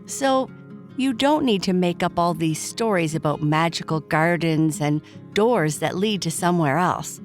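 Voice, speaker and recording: A woman voicing a gentle, reassuring but sceptical mother in a children's bedtime story. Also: fairly low pitch, unhurried, faint music behind, close to an everyday voice.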